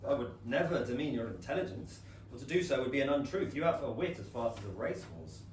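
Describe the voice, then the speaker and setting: An actor playing a proud, aloof Regency gentleman with a formal, dry, clipped manner. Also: medium pitch, very animated, quick pace, natural voice. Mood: indignant. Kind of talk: period comedy stage acting. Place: small London theatre. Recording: very echoey theatre, far mic, faint noise.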